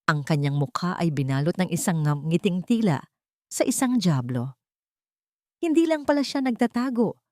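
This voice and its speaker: Female audiobook narrator, Filipino accent, lowish pitch, dramatic scripted storytelling, dry studio-like sound. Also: expressive, normal speed, darkish tone, dry recording.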